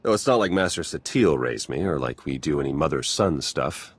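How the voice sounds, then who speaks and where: Sardonic rogue agent, game cutscene. Low-pitched, conversational, casual, wry. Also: male voice, expressive, fairly quick, husky, heavily acted. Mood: wry, relaxed. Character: wry, roguish male Republic spy. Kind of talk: conversational video game acting.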